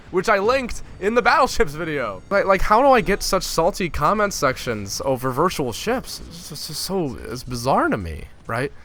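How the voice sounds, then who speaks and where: A young gaming YouTuber from Finland speaks in a casual vlog with a bright, forceful voice. He rants in an exasperated, sarcastic way. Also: on the high side, slight background noise.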